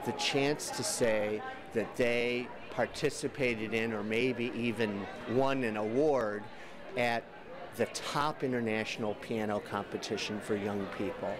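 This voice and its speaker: A man's voice: lowish voice, fairly even intonation, a little slow, crowd noise. A middle-aged man giving interview remarks. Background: music.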